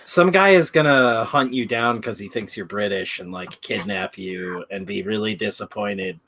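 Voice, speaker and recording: A man's voice: fairly low pitch, normal speed, sharp tone, no echo. A man chatting casually while drawing, cracking crude jokes and laughing often. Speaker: artist, podcast regular. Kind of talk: casual chat.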